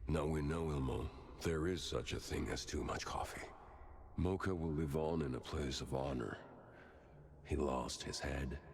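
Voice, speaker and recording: Very deep gravelly backwoods man. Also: measured pace, darkish tone, a little hiss or hum. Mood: deadpan. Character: eccentric small-town backwoods man, deadpan, secretive cultist. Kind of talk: dramatic video game voice acting.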